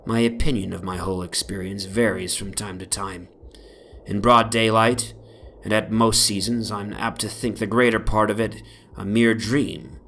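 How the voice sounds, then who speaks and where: A man giving a solemn, brooding dramatic reading of a first-person horror story, in literary phrasing that often sinks into a hush. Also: lowish voice, steady tone, moderate pace, gravelly, a little hiss or hum. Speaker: narrator, dramatic reader. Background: ambient soundscape.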